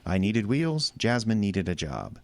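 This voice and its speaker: An actor plays an eager, slangy American man in his thirties, a small-town sci-fi geek in an audio drama. His talk tumbles out with stammers and a very lively, swinging pitch. The sound is dry, with little room around it. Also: measured pace, no echo. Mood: easygoing, explaining. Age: 30s.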